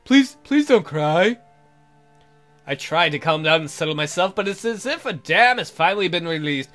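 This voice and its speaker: A man's voice: on the high side, animated, moderate pace, a bit bright, faint music behind. A man voicing a lovesick, miserable young woman who narrates her romance melodramatically in a visual novel. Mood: frustrated, humiliated. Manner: melodramatic, pining.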